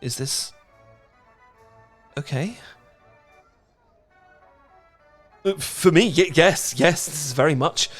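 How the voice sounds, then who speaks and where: A man's voice: fairly high pitch, measured pace, fairly bright tone, faint music behind. A British man playing a cute, awkward young dork in a romantic roleplay, stammering and rambling as he talks. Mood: flustered. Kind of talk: romantic ASMR roleplay acting.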